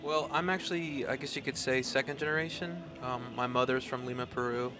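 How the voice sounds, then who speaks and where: Brief: male singer, Spanish first language, hesitant and thoughtful, mid pitch, club with music behind. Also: fairly quick. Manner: thoughtful, searching for words with many ums. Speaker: singer and guitarist. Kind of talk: casual interview answers.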